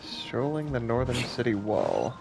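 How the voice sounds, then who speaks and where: A man's voice: mid-range voice, wildly swooping pitch, unhurried, vocal fry, low-quality recording. A man streaming a game, making casual, sarcastic asides and swearing now and then. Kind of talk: casual live gameplay commentary. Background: game music.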